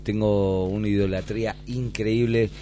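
A man's voice: fairly low pitch, fairly even intonation, moderate pace, mellow, a little hiss or hum. An Argentine man chatting casually as a guest, effusive and emphatic, often repeating his words. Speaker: musician guest. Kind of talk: casual chat.

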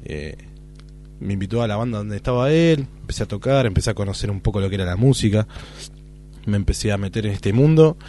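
A man's voice: lowish voice, animated, fast, dark tone, slight background noise. An Argentine man in his thirties, a guest casually telling anecdotes in a conversational way. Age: 30s. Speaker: guitarist guest.

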